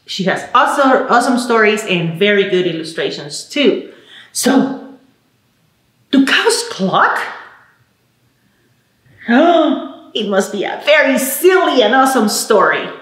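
A woman's voice: mid-range voice, expressive, a little slow, pauses often, a bit bright. A middle-aged woman presenting a children's storytime, speaking expressively and encouragingly to small children. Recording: roomy indoor echo.

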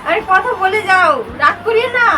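Very high-pitched coaxing woman, distant mic. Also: fairly quick, crowd noise. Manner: coaxing, pleading. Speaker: vlogger making a prank call. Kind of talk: casual flirtatious phone chat. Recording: distant mic with music behind.

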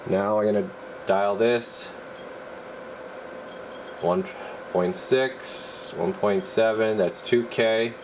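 A man in a radio workshop, talking casually and haltingly while he tests equipment. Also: lowish voice, background noise. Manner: relaxed, halting, thinks aloud while working. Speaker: radio repair technician. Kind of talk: casual hands-on demo.

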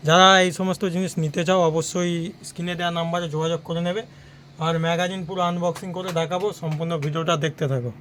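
A man's voice: fairly high pitch, level tone, very fast, a little hiss or hum. A man with a West Bengal Bengali accent giving casual, repetitive sales patter to viewers in an unboxing vlog. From West Bengal, India. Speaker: audio equipment seller and channel host.

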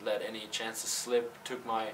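Young man, low flat voice, hesitant. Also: unhurried. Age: young adult. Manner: hesitant, many fillers and restarts. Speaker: college tennis player. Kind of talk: post-game press interview. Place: press backdrop room. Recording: slightly distant, faint room noise.

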